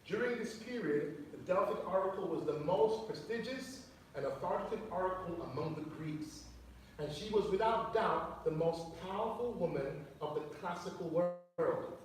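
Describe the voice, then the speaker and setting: A man's voice: high voice, expressive, moderate pace. A man teaching a sermon to his fellowship, explaining words and asking the listeners questions. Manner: explanatory, reads quotes aloud, asks listeners questions. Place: church hall. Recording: very echoey room, mic far off, faint noise.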